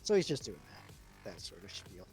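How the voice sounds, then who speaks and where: A man's voice: deep voice, very animated, normal speed, a little mellow. A man chatting casually and off the cuff as he runs a wrestling booking game on a live stream, thinking aloud as he goes. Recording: background music under the voice. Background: chillhop video game music.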